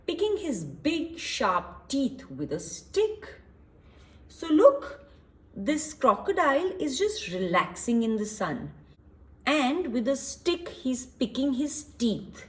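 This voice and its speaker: A woman's voice: a little high, poor audio. A woman reading a story aloud to young children, expressively, explaining and asking questions with emphasis and repetition.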